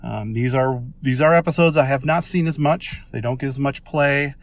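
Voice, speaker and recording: Casting: American man, mid-pitched, informal and rambling, eager fan leaving a voice message, dry close sound. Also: moderate pace, no echo. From Minnesota, United States. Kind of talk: casual voice message.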